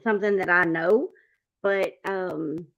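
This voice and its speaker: A woman's voice: on the low side, slow, creaky, no room sound, rough recording. A woman chatting casually as a panel host who reads out and answers viewer comments. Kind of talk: casual chat.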